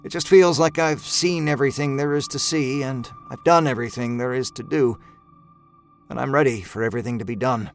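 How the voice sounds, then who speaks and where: A man narrating a first-person horror story in a slow, brooding, measured way. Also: mid-range voice, soft background music.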